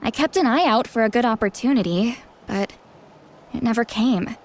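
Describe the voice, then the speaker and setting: Tribe mentor lady in a game, kinda high youthful voice, frank and protective, sure of herself. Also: normal speed. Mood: regretful, fond. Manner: candid, informal, self-assured. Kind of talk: video game voice acting.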